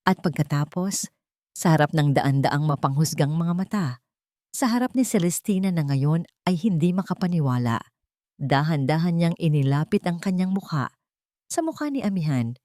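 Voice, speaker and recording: A woman narrating a scripted romance story in a deliberate, emotive, dramatic way. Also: sits low, lively intonation, warm tone, dry recording, studio quality.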